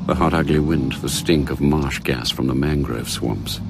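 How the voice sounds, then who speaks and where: An actor narrating a spy thriller radio drama as a grave, measured storyteller with an English accent. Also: male voice, very deep voice, gravelly, noisy background. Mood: sombre, reflective.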